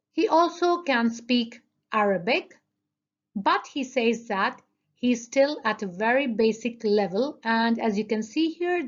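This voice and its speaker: Slow-speaking woman teacher, lo-fi sound. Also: on the high side. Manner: careful, addresses students directly. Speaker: English teacher. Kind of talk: slow classroom answer review.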